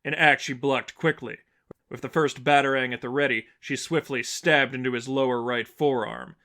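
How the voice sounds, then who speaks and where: Casting: male narrator for fan fiction, medium pitch, scripted storytelling delivery. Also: fairly even intonation, normal speed, pushed and bright.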